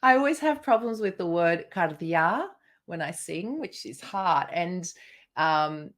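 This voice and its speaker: A woman's voice: fairly low pitch, lively intonation, a little slow. Woman with a strong Australian accent. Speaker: podcast co-host. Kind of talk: casual conversational podcast chat.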